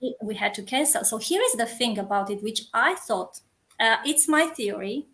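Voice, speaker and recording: A woman's voice: on the high side, normal speed, fairly bright tone. A woman with a Romanian accent speaks in a slightly raised voice, talking earnestly about her community. She often restarts and searches for the right English word. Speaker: community founder, guest. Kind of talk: casual interview chat.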